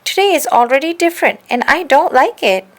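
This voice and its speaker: A woman's voice: high voice, lively intonation, fairly quick, voice pushed up. A woman voicing an earnest, easily dismayed male bear in a children's storybook, with emphatic exclamations.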